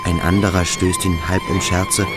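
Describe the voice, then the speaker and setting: Intense man, wildly swinging pitch. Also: wildly swooping pitch. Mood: agitated, breathless narration. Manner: halting, dramatic, fragmented phrasing. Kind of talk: German literary radio drama acting. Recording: music behind the voice. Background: music with strings.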